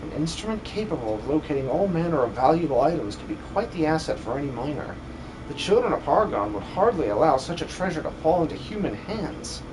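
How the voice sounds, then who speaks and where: Guy doing an excitable adventurer buddy for a game dub, chatty n theatrical, very animated, noisy background. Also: a little high, a little fast. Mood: puzzled. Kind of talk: amateur video game dub.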